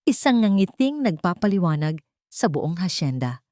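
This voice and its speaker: Female narrator, lowish voice, very lively melody, sentimental romance storytelling, dry sound. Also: moderate pace, no room sound.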